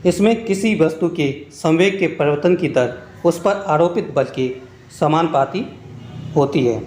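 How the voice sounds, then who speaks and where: Higher-pitched man teaching, echoey room. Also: unhurried, darkish tone, a little hiss or hum. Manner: instructional, reading out points one by one. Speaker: exam-prep teacher and video host. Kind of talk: scripted lesson narration with short explanations.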